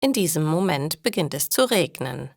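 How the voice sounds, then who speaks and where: Woman, slightly low voice, dry close sound, story narration for learners, deliberate short sentences. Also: big pitch swings, no room sound.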